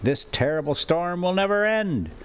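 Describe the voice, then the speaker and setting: A man reading a classic adventure story aloud as a storyteller, in deliberate, halting phrases with an informal touch. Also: sits high, very animated, smooth. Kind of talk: audiobook-style reading with casual asides.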